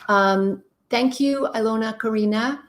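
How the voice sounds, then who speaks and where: Warm-voiced woman giving frank relationship advice. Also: fairly high pitch, a little slow. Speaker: relationship advice show host. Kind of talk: live-stream Q&A, reading viewer questions.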